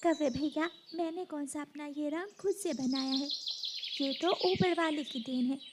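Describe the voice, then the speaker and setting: A woman's voice: high voice, normal speed, slight background noise, natural voice. A woman voicing a meek, bullied young female bird in a cartoon story, pleading and plaintive. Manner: pleading, plaintive, self-pitying.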